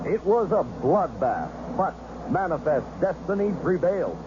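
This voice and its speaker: An actor playing an excitable live inauguration commentator with a dramatic sportscaster delivery in a satirical radio play. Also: male voice, high voice, normal speed. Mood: solemn, reporting. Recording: muffled radio sound, heavy street noise.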